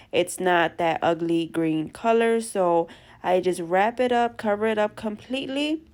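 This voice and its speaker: A young woman with a stuffy, congested voice from allergies talks through a craft tutorial. She sounds chatty and gushing and speaks to her viewers as friends. Her voice sits at an ordinary middle pitch for a woman. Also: darkish tone. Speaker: craft vlogger. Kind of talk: DIY tutorial narration.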